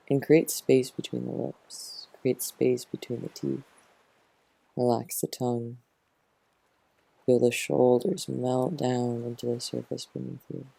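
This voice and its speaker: A man who teaches yoga nidra, gently guiding a relaxation meditation in a soothing, unhurried manner, close to the mic. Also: mid-range voice, creaky, no room sound, rough recording.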